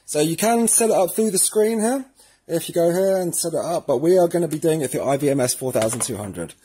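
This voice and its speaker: A man presenting a casual step-by-step tutorial with a slightly husky voice, informally talking through each step as he does it. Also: on the high side, expressive, fairly quick, mellow.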